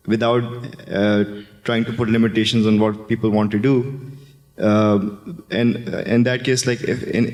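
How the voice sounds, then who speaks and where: A man's voice: lowish voice, darkish tone. A man from Pakistan in the audience asking the panel a question, hesitant and searching for words. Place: university lecture hall. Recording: reverberant university hall.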